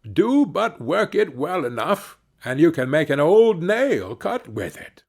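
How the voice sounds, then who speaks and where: A man's voice: fairly high pitch, big pitch swings, slow, gravelly, darkish tone, pitched above the performer's own voice, heavily acted. A man voicing a jolly, crafty travelling scissor grinder in a fairy tale, with hearty, coaxing patter. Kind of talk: fairy-tale storybook character reading.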